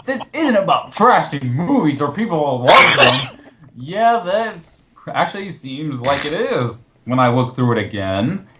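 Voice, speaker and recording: A man's voice: a little high, big pitch swings, measured pace, very noisy. A man co-hosting a film commentary, mocking and sarcastic as he reads and reacts casually. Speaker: co-host of a film commentary show. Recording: roomy, echoey voice recording.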